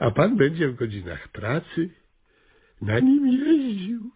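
An actor playing an exasperated, bossy small-town mayor in a radio comedy, stammering and exclaiming with absurd earnestness. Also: male voice, sits high, wildly swooping pitch, slow, breathy edge, phone-quality sound, exaggerated voice. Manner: stammering repetitions, bureaucratic, exclamatory. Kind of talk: radio comedy play acting.

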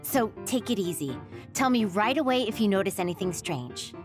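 A woman's voice: mid-range voice, expressive, normal speed, close to an everyday voice. A woman playing a friendly, caring young military operator in an anime game, informal and reassuring. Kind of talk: English anime-game voice acting. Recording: music behind the voice.

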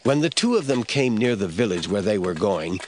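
A man's voice: lowish voice, big pitch swings, normal speed, darkish tone, a little hiss or hum. A man narrating scripture as a scripted audio drama storyteller.

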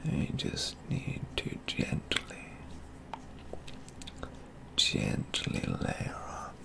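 A man in his twenties doing soft-spoken ASMR roleplay as a model painter, hushed, breathy and slow, with genteel, affected wording, close on the mic. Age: 20s. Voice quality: breathy, half-whispered, creaky. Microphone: close microphone.

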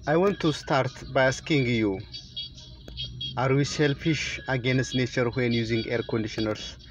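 A middle-aged man from Ethiopia with an Ethiopian accent gives a formal, deliberate prepared speech to camera inside a car. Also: mid-range voice, faint music behind. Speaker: presenter, children's author.

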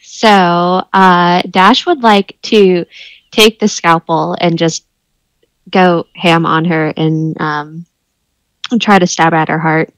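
A woman's voice: on the low side, fairly even intonation, slow, no echo, poor audio. A woman playing a tabletop roleplaying game, describing her character's actions in casual, improvised speech.

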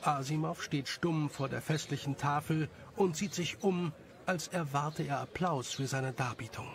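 A man's voice: on the high side, unhurried, vocal fry. A man reading scripted story narration as the narrator.

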